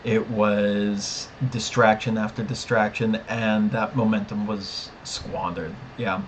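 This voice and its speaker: A man's voice: on the low side, level tone, slow. A man co-hosting a podcast, giving opinionated, emphatic fan commentary in a casual review chat. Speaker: podcast co-host. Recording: clear background noise.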